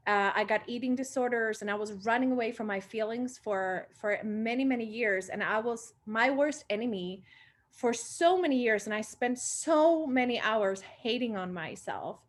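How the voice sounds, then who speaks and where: A woman in her late twenties from Sweden, a confidence coach talking on a group video call, a little short of breath and pausing for air. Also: medium pitch, a bit bright. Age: 20s.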